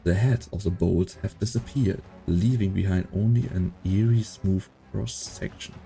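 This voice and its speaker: A man's voice: low-pitched, normal speed, vocal fry, dark tone, soft background music. A man playing a solemn storyteller, giving scripted dramatic narration for a science-fiction game dub. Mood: solemn, suspenseful.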